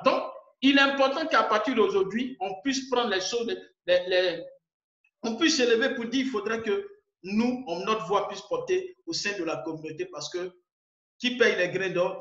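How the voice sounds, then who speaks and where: A man's voice: high voice, fairly bright tone. A man with an Ivorian French accent hosting an online meeting, urging the members insistently and often repeating words. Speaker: meeting host. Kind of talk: exhorting a group in an online meeting. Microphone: video call. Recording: muffled echoey call audio.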